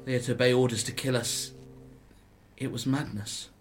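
A man's voice: medium pitch, steady tone, moderate pace, natural voice. A man playing a haunted young soldier narrating his war in a radio play monologue. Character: haunted young soldier recalling trench warfare. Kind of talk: dramatic first-person radio monologue.

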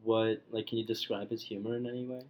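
A woman with an unusually deep, low-pitched voice co-hosts a casual podcast. She teases her partner in a dry, deadpan way and steers the talk with probing questions. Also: fast. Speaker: podcast co-host.

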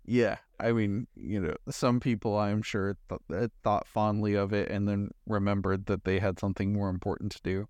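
A man's voice: on the low side, fairly even intonation, moderate pace, hardly any pauses, darkish tone, no echo. A man co-hosting a podcast, chatting casually as he reviews a movie. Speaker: podcast co-host.

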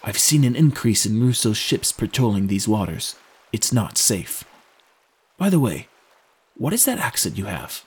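A man's voice: on the low side, sing-song, a little slow, slightly rough, exaggerated voice. A man voicing a watchful, superstitious sailor in an audiobook, plain-spoken and easygoing but wary. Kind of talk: audiobook character voice.

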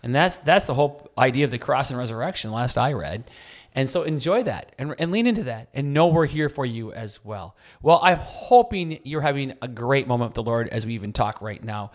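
A man's voice: mid-range voice, expressive, fairly quick. A man with a Wisconsin accent speaks in a middle-range voice, earnest and encouraging. He co-hosts a casual faith podcast and often restarts his sentences as he thinks aloud. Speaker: podcast co-host.

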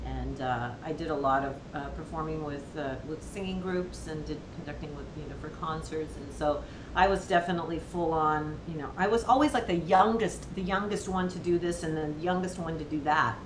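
A woman's voice: low voice, fairly even intonation, normal speed, background noise. Chatty woman composer in an echoey room. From US, of Latvian parents. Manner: chatty, laughs easily. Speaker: composer guest. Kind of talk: casual interview talk.

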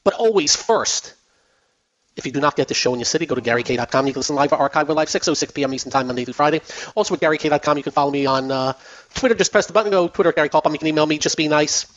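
A man hosts a finance call-in radio show, his voice going hoarse as he talks. He chats in a loose, repetitive way with plenty of fillers, and sits fairly high in pitch. The broadcast sounds dull and lo-fi. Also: rapid-fire, a bit bright. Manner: chatty, repeats himself, many fillers. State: losing his voice. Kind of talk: casual radio market talk.

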